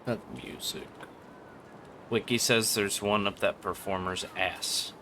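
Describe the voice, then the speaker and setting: A man's voice: on the low side, steady tone, slow, pushed and bright, slight background noise. A man streaming a video game with casual, slangy, irreverent commentary. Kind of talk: casual live game commentary, crude joking. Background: game music and sounds.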